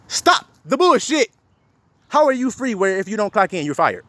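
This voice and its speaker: A man's voice: high-pitched, wildly swooping pitch, a little slow, a bit bright, dry recording. A man delivering a profane, confrontational rant as a self-styled preacher, quoting scripture and firing rhetorical questions at his listeners. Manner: confrontational, fires rhetorical questions, heavy swearing.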